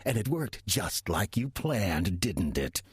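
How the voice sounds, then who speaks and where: Low, husky, sly demon. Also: male voice, very animated, slow, dry recording, studio quality, heavily acted. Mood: smug, taunting. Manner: smooth-talking, wry, calculated. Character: sly demon posing as a friendly small-town churchgoer. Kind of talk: audio drama acting and first-person narration.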